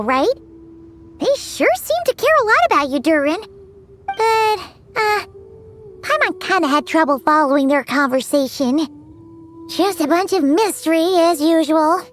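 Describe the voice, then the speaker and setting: Tiny chatty game fairy, sky-high squeaky voice, music under. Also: female voice. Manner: squeaky, chirpy, talks of herself by name. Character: tiny floating female fairy companion, chatty. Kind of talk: video game voice acting.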